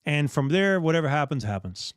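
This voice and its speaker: A man's voice: a little high, big pitch swings, a little fast, dry recording. Chatty man, dry close sound. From Bahamas. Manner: rambling, candid, with restarts. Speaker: dive shop host. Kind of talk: casual live chat.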